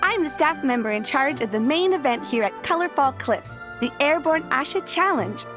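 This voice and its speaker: Effusive young staff lady, game cutscene, high lively voice, earnest gushing delivery, music underneath. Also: moderate pace. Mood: gushing, grateful. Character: eager, effusive young female event staffer. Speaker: event staff member. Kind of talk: video game voice acting. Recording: music behind the voice.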